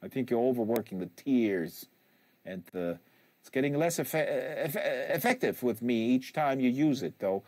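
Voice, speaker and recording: Guy doing a bitter rambling dad from a novel, needling his kid, halting, soft mellow tone, dry close sound. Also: medium pitch, lively intonation, dry recording. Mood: needling, muted scorn. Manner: halting, repetitive, goading. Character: bitter, needling, rambling father berating his tearful son. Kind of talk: dramatic novel reading, monologue.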